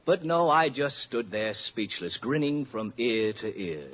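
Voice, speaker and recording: A man's voice: mid-range voice, lively intonation, a little slow, fairly bright tone. An actor playing a bashful, clumsy young amateur actor who tells his story in a hesitant, mock-formal way for a 1950s radio commercial. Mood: sheepish. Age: young adult. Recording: muffled old radio recording.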